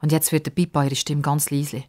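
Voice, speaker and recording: A woman's voice: low voice, level tone, brisk, dark tone. A woman with a Swiss German accent narrating a scripted story for children as a storyteller.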